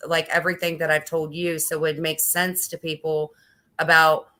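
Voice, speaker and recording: A woman's voice: deep voice, level tone, normal speed, bright, forceful tone. A woman speaking on a live stream panel, emphatic and defensive, often talking over the others. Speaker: panel guest.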